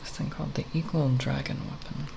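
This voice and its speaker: An ASMR creator speaking softly and breathily, half whispering in a halting, unscripted ramble with long pauses, close to the microphone. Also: medium pitch, little pitch movement, noisy background. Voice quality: soft, breathy, partly whispered.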